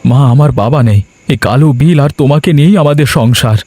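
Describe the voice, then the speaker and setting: A man's voice: mid-range voice, brisk, a little mellow, lightly acted. A man playing an anguished, devoted young village farmer in a melodramatic cartoon, earnest and lamenting. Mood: worried. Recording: music behind the voice. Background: background music.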